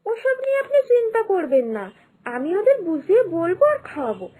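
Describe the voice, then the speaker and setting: A woman as a very high-pitched, earnest young wife. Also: sing-song, brisk, dark tone, poor audio. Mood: eager, reassuring. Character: confident, caring young Bengali daughter-in-law and wife. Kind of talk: moral-story cartoon voice acting.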